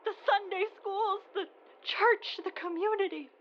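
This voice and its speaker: Very high-pitched, distraught preacher woman. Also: steady tone, muffled recording, faint music behind. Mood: anguished lament. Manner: halting, repetitive, sermon-like lamenting. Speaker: actor in an audio drama. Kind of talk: modern audio drama acting.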